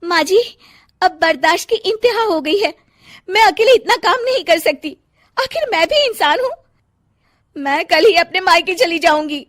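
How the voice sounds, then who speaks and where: A woman's voice: extremely high pitch, animated, normal speed, pitched above the performer's own voice. A woman voicing an overworked young wife in a cartoon, whiny and melodramatic in her exclamations. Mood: exasperated. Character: panicky, overworked young wife, dramatic complainer.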